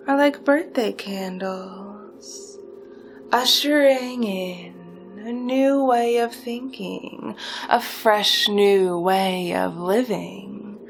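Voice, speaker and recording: A woman playing a seductive, smugly delighted supervillain recruiter in a theatrical hypnosis roleplay, with deliberate phrasing that often dips toward whispers. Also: mid-range voice, slight background noise. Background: music.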